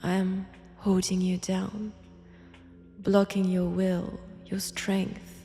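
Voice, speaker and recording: Woman playing a slow, creaky French-accented vampiress. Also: mid-range voice, completely flat delivery, faint music behind. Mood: dominant, threatening. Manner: soft, taunting, often dropping into whispers. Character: menacing, mad female vampire, seductive and condescending. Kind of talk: slow intimate roleplay audio drama.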